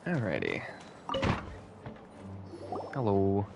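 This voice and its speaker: A man's voice: sits low, wildly swooping pitch, extremely slow, vocal fry, dull audio, heavy background noise. A man streaming a game, making casual, sarcastic asides and swearing now and then. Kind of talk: casual live gameplay commentary. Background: game music.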